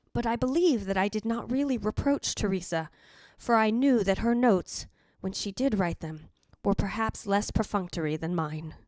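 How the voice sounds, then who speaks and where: A woman playing the wistful, fastidious ghost of a dead wife in a measured, formal radio drama monologue. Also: mid-range voice, dry recording, natural voice. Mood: quietly reproachful.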